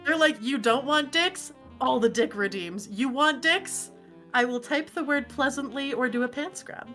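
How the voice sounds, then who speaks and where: A woman's voice: high voice, moderate pace, pushed and bright, faint music behind. A woman guesting on a casual stream chat, giggly, easygoing and self-deprecating.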